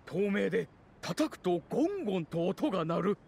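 高めの声の優しい動物の長老. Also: male voice, sits high, sing-song, moderate pace, creaky, a little mellow, slight background noise, heavily acted. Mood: reporting, polite. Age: older. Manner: courtly, old-fashioned, grandfatherly. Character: kindly old male origami animal village elder. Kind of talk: anime video game voice acting.

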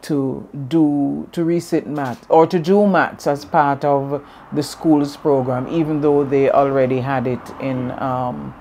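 Soft-toned male interviewer. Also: mid-range voice, fairly even intonation, takes it slowly, gravelly, hardly any pauses, a little hiss or hum. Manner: brief prompts and backchannels. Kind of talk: interview questions.

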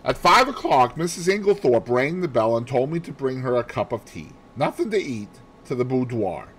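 A man's voice: medium pitch, sing-song, normal speed, a bit bright, slightly rough. A man voicing a loyal, indignant housemaid who speaks emphatically and respectfully in an audiobook. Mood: earnest, respectful. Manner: emphatic, deferential. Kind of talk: audiobook character voice.

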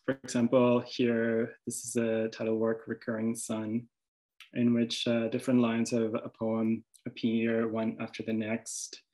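A man's voice: medium pitch, completely flat delivery, measured pace, dark tone, rough recording. A man from Venezuela with a Venezuelan accent, an artist presenting his work over slides, hesitant, with many restarts and fillers.

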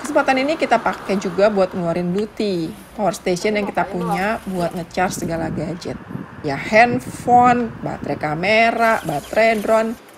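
A woman's voice: medium pitch, moderate pace, noisy background. A woman in her forties, a mother, narrating a family road-trip vlog in a casual, chatty voice-over with conversational asides. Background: background music.

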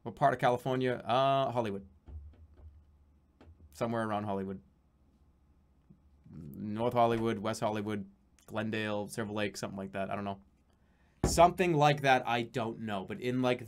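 A man in his thirties chatting casually on a live stream from a small room at home, reading out donations, rambling and swearing, sometimes breaking into excited yelling. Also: on the low side, normal speed, long pauses, a little hiss or hum. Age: 30s.

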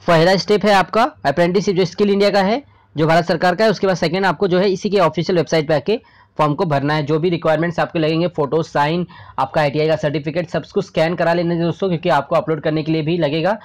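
Male Indian explainer host, slightly high voice, informal and repetitive, talking straight to viewers as friends. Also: steady tone, fast talker. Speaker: host of a government job news channel. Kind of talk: informal explainer narration over a screen recording.

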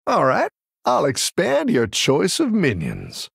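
An actor playing a friendly, encouraging tavern keeper who looks after little dragons in a video game, with a warm, folksy manner and a gravelly, husky voice. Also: male voice, a little high, huge pitch swings, takes it slowly, no room sound, studio quality, heavily acted. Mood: cheerful, encouraging. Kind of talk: game character voice lines.

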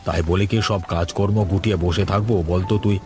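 A man acts as an elderly cartoon grandfather with a low voice. He is folksy and talkative, over clearly audible music. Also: fairly even intonation, fast, lightly acted. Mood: stubborn, cheerful. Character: elderly poor father and doting, carefree grandfather. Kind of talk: cartoon story voice acting.

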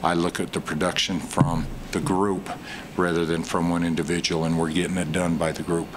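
A middle-aged man with a very low voice answers post-game questions as head coach. He speaks in a measured way with frequent pauses into a desk microphone. A faint room hum sits behind him. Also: level tone. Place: press room in front of a sponsor backdrop.

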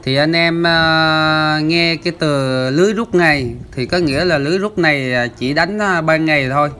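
A man's voice: a little high, moderate pace, sharp tone, slight background noise. A man hosting a fishing channel, explaining the footage in a chatty voice-over with lots of filler sounds. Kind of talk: casual voice-over commentary.

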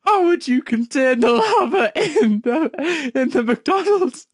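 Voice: high voice, expressive, slow pace, dry recording. A person reading fan fiction aloud and breaking off to question and poke fun at it off the cuff. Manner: breaks off to question and mock the text. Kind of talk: amused read-aloud with off-the-cuff commentary.

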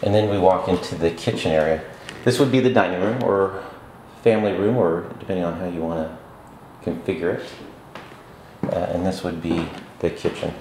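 Rental agent, male, very low voice, halting unscripted walkthrough, bare echoing rooms, noisy camera mic. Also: a little mellow. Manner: halting, with frequent uh fillers and restarts. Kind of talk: unscripted property tour narration. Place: empty apartment, indoors, walking room to room. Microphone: camera mic on a handheld camera. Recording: echoey empty rooms with background noise.

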